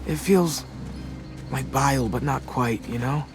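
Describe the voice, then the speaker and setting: A man's voice: medium pitch, unhurried, lightly acted. A man playing a wisecracking, dramatic teenage boy survivor in a video game, with an American accent and a playfully theatrical delivery. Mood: shaken, resolute. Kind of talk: video game voice acting, casual dialogue. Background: background music.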